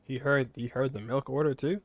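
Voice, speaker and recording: A man's voice: medium pitch, fairly even intonation, brisk. A man giving casual playthrough commentary on a game in a wry, offhand way. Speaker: game streamer. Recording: faint background noise.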